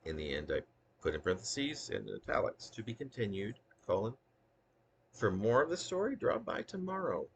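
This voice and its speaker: A man with a gravelly, husky voice reading his own poem aloud in earnest, deliberate phrases, with casual asides. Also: fairly low pitch, big pitch swings, slight background noise. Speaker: poet reading own work.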